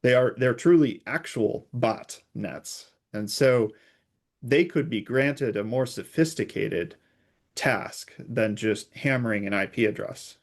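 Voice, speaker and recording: Lower-voiced man explaining technical ideas. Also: creaky. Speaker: expert guest, security researcher. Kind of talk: interview discussion, explaining technical concepts.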